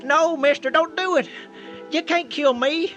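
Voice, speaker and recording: An actor playing an excitable, earnest, folksy simple man in a radio-style audio drama. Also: very high-pitched, unhurried, faint music behind, heavily acted. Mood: urgent, pleading. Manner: folksy, exclamatory.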